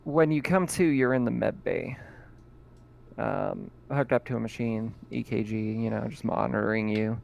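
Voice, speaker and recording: Gravelly-voiced man running a tabletop game. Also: fairly low pitch, moderate pace. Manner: relaxed, laughs often, many fillers. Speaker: game master.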